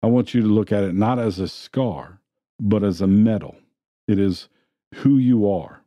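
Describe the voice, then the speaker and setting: A man preaching a sermon in a church, retelling scripture stories in a plain, steady way. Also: lowish voice, measured pace, dry recording. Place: church sanctuary.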